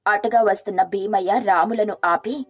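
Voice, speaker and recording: A woman narrating a moral story and playing the caring wife, speaking softly and earnestly. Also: medium pitch, fairly even intonation, normal speed, breathy edge, no echo.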